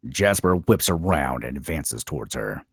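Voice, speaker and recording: A male narrator reads screenplay directions dramatically. His voice is deep and husky, with expressive swings in pitch. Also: moderate pace, no echo, clean studio sound. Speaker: narrator reading stage directions.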